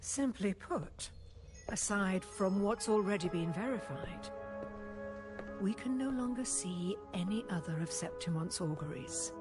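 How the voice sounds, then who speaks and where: An actress playing a stern, composed high priestess in a video game, speaking in formal, measured, deliberate phrases. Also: female voice, lively intonation, natural voice. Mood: grave, measured. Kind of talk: scripted video game voice acting. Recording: game music under the voice. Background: music.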